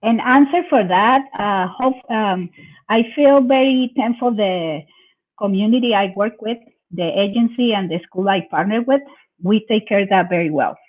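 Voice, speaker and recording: A woman from Venezuela with a Venezuelan Spanish accent, a bilingual parent educator who speaks Spanish as her first language and answers in a halting way, often searching for words. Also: medium pitch. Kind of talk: conversational interview answers. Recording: tinny phone-like call audio.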